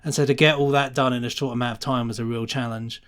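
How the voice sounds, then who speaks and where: A man's voice: mid-range voice, steady tone, fast talker, a bit bright. A man chatting casually and enthusiastically as an interview guest, rambling through his answers in a loose, conversational way. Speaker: podcast guest, sound designer.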